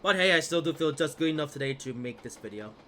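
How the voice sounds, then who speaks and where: A man's voice: a little high, animated, fast, bright, forceful tone, a little hiss or hum. A young man with a slightly stuffed-up voice from a cold, casually commenting on his gameplay with many fillers and trailing asides. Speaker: gaming video host.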